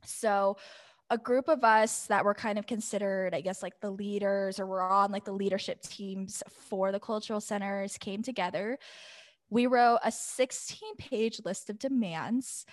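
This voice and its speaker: A woman's voice: medium pitch, steady tone, a little slow, no echo. A young adult woman speaking as a podcast guest, telling personal stories in a chatty, informal and long-winded way. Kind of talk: casual conversational personal storytelling.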